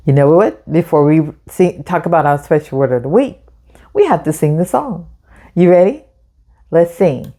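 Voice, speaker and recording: Slow-paced, encouraging woman storyteller. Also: sits low, dark tone. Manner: encouraging, addresses young listeners directly, asks questions. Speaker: host of children's read-aloud storytime. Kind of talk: children's storytime, teacherly retelling with questions.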